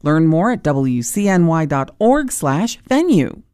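A woman's voice: sits low, sing-song, measured pace, a little mellow, clean tone, no room sound, clean studio sound. A woman reading a station advertisement in an upbeat, bouncy way. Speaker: station ad announcer. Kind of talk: read advertisement.

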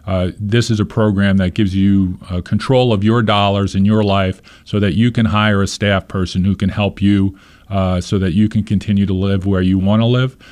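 Lowish guy chatting on a podcast, lots of uhs, super dry close mic. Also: flat delivery, moderate pace, darkish tone, dry recording. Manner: conversational, many "uh" fillers and restarts. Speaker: podcast host, here the guest. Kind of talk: casual interview answers.

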